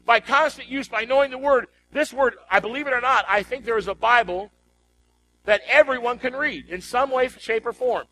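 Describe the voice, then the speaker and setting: A man's voice: very high voice, moderate pace, pushed and bright, poor audio. A man preaching a sermon as a pastor, emphatic and exhorting, repeating phrases and putting rhetorical questions to his congregation.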